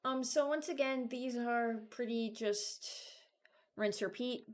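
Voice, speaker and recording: A woman giving informal, halting commentary as a video creator, thinking aloud while she ranks things. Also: a little high. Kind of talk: casual commentary, ranking game voice lines.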